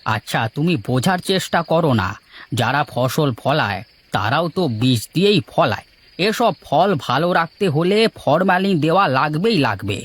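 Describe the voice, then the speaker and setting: A man's voice: a little high, big pitch swings, normal speed, fairly bright tone. A man voicing village men in a Bengali cartoon moral tale, with theatrical, emphatic delivery. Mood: insistent. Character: village men of a moral tale, from scheming fruit seller to distraught farmer. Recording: music behind the voice.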